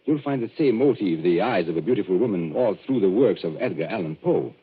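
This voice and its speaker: An actor playing a suave stage magician who speaks in an urbane, theatrical, mocking manner in an old radio drama. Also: male voice, deep voice, animated, a little fast, breathy edge. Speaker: magician and escape artist. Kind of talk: 1940s radio drama acting. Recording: tinny, lo-fi old radio broadcast.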